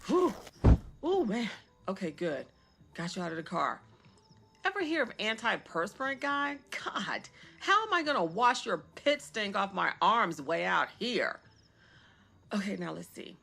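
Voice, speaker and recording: A cruel young woman killer played by an actress talks straight at her victim in a horror-comedy audio drama. Her pitch is middling but swings widely as she mocks and brags, sardonic and self-absorbed, with music running underneath. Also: big pitch swings, unhurried, creaky, pushed and bright, breathy edge, full character voice. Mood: relieved, irritated. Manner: mocking, conversational, sardonic, giggly asides.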